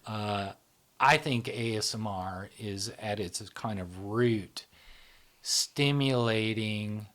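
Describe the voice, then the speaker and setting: A man's voice: lowish voice, slow, fairly bright tone. A man hosting a casual conversation, explaining ideas in loose spoken runs and often checking in with "right?". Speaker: host, channel founder.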